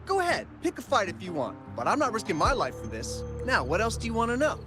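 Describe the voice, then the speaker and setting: High-pitched, shifty game gang boss. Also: male voice, wildly swooping pitch, quick pace. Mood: defensive. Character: shifty male gang leader posing as an exile, cowardly and defensive. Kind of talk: video game voice acting. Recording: loud game music underneath.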